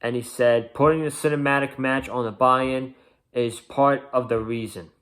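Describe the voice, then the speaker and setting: A man's voice: medium pitch, fairly even intonation, normal speed, a bit bright. A man hosting an informal online news video, reading out notes and adding earnest fan commentary in a casual way. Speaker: host of a wrestling news video channel.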